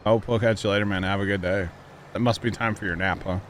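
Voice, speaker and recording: Man, mid-thirties, from Missouri, casual gaming streamer, teasing and joking, lots of fillers, lowish voice. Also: fairly even intonation, quick pace, slight background noise. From Missouri, USA. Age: 30s. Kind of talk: casual livestream chat while gaming.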